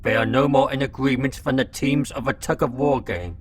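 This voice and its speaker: A man's voice: fairly quick, close to an everyday voice. A man voicing a talking locust, a gossipy messenger in a radio drama. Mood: reporting gravely. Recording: clear background noise with low rumble.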